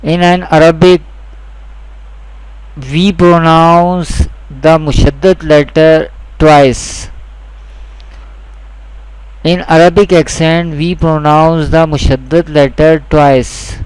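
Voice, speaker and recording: Slow, clear-voiced male teacher. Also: fairly high pitch, flat delivery, no echo, low-quality recording. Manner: deliberate, repeats each point twice. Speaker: Quran teacher. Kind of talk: slow, repetitive instructional lesson.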